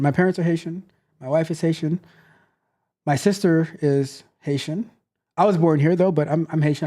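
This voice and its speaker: A man in his thirties hosting a casual livestream from a home studio on a close boom mic, rambling, repeating himself and joking. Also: darkish tone. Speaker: host, tech coach. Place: home studio, seated in gaming chair. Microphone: boom mic close to mouth.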